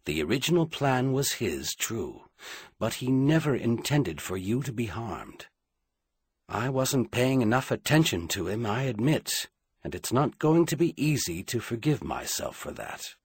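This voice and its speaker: Man voicing a calm, deliberate royal advisor, husky. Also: medium pitch, dry recording, studio quality, full character voice. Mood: quiet, kind. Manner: quiet, deliberate, reasoning. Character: calm, loyal male royal advisor, apologetic and analytical.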